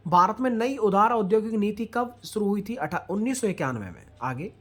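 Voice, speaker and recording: A woman teaching a rapid-fire exam revision lesson, asking questions and answering them for her students, with English words mixed in. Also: mid-range voice, a bit bright, husky. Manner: asks questions then answers them, addressing students directly; mixes English words into speech.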